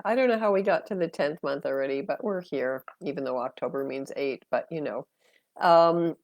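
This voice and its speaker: An older woman with a low, gravelly voice and a stuffy nose from a cold, talking to a live audience in a loose, chatty way full of ums and asides. The sound is close and dry, picked up on a phone with no room echo around her. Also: darkish tone. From Canada. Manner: chatty, rambling, many fillers. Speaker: astrologer and tarot reader hosting a live stream. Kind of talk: casual live-stream talk.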